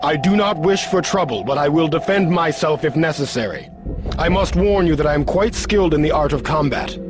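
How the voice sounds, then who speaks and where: An actor playing an earnest, honorable martial arts hero in a video game, with formal, stilted phrasing. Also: male voice, fairly high pitch, expressive, brisk, over music, close to an everyday voice. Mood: resolute. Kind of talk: video game cutscene acting.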